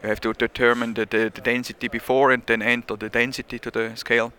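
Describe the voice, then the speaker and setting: A man's voice: mid-range voice, little pitch movement, fast, bright, forceful tone, clean tone. A man with a Swiss accent, not a native English speaker, being interviewed about his product at a trade show and talking in a hesitant way, with many fillers and restarts. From Switzerland. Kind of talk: casual interview.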